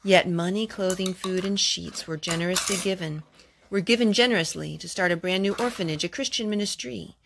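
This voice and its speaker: A woman hosting a children's storytime, reading aloud in an expressive storytelling manner. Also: fairly low pitch, a little fast, gravelly, noisy background.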